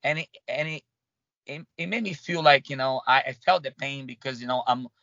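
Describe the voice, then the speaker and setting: A man from Brazil with a Brazilian Portuguese accent, a guest in an interview, talking in halting bursts with many restarts and fillers. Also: medium pitch, gravelly, sharp tone, no room sound, muffled recording. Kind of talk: conversational interview.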